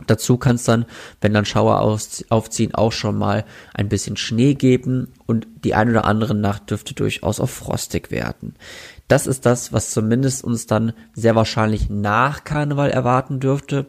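Congested man with a gravelly voice. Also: medium pitch. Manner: relaxed, chatty, with filler hesitations. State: congested with a cold, sniffling. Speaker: weather presenter. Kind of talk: weekly weather forecast, casual address.

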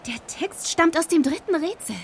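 A woman's voice: very high voice, expressive, moderate pace, a little hiss or hum. An actress playing an observant, thoughtful woman helping detectives in a German audio drama, speaking hesitantly. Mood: searching, focused.

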